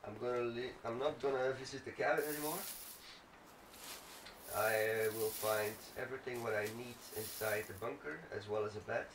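A man's voice: mid-range voice, steady tone, moderate pace. A man giving casual, rambling gameplay commentary, thinking aloud as he plays. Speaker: let's play gamer. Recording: roomy, distant mic, faint noise.

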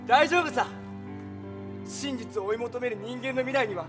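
Earnest young male attorney, high voice, stage play, heartfelt, music behind. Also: moderate pace. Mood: hopeful. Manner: theatrical, heartfelt delivery. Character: idealistic, earnest young defence lawyer. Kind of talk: live stage-play acting. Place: theatre stage.

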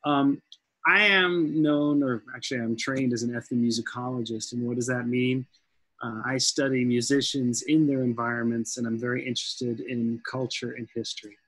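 A man's voice: medium pitch, fairly even intonation, moderate pace, fairly bright tone, no echo, poor audio. A man in his forties speaking as a guest music professor on a panel video call, thoughtful and conversational, with a headset mic in a room at home. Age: 40s. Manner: thoughtful, conversational, many fillers.

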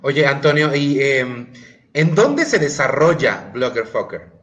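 A man's voice: medium pitch, big pitch swings, unhurried, fairly bright tone. A young man, asking casual questions as co-host of an online interview. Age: young adult. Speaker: co-host, editor. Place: indoor room, on a video call. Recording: dull lo-fi video call.

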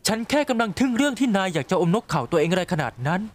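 Man as a very high, haughty demon prince, mocking, lively pitch, music behind. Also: racing. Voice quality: mocking, dry sarcasm. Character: haughty male royal demon prince, adult, sarcastic. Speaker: voice actor in a fan dub. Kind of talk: cartoon fan dub acting.

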